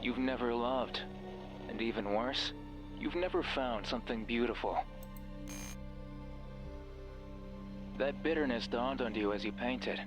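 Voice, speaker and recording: A man's voice: medium pitch, normal speed, highs cut off, lightly acted. Man playing a menacing radio voice over music. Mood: bitter, taunting. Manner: menacing, bitter, commanding. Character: sinister manipulative mysterious male voice on a radio. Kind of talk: dramatic second-person horror game narration.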